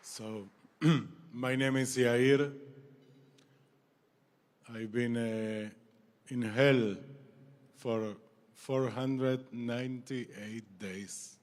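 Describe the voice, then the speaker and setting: Man, halting speech, slow, long hall reverb. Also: medium pitch, steady tone, some chatter behind. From Israel and Argentina. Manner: halting, apologetic, repeats words. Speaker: former hostage giving a speech. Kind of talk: emotional public speech. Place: large banquet hall.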